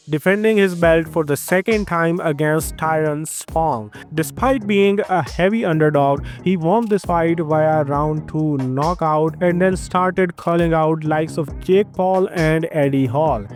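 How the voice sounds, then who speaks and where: A man with an Indian accent hosting a fight sports news roundup, delivering scripted highlights briskly. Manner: brisk, energetic delivery with frequent filler transitions. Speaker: host of a combat sports news channel. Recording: faint music behind the voice.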